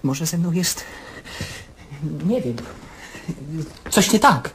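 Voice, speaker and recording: An actor playing an anxious, sleepless male patient in a radio play, speaking in halting, agitated short phrases. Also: high-pitched, sing-song, a bit bright, a little hiss or hum, full character voice. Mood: agitated, questioning. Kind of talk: radio drama acting.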